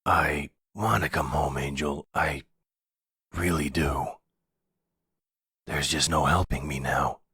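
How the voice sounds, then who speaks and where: A man acts out a middle-aged mafia father in exile for an audio roleplay. His voice is extremely low and very raspy, and he speaks slowly, brooding and resigned, with bitterness under the weariness. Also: sharp tone, no room sound, exaggerated voice. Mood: weary, resigned. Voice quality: very deep, gravelly, husky. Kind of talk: ASMR audio roleplay drama.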